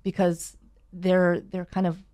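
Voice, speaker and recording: A woman's voice: on the low side, completely flat delivery, slow, mellow. A Canadian woman hosting a sports show, chatting casually in an upbeat, enthusiastic way. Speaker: host of a daily sports show. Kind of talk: casual panel chat.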